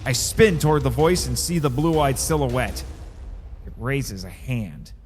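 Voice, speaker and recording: A man with a theatrical, excitable delivery, acting and narrating a scripted first-person drama. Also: mid-range voice, unhurried, soft background music. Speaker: host and narrator of a fiction podcast.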